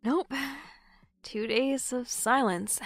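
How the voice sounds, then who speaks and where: A woman's voice: on the high side, takes it slowly, no echo. An actress playing an anxious, friendly young woman with a hesitant delivery in a naturalistic audio drama. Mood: downcast.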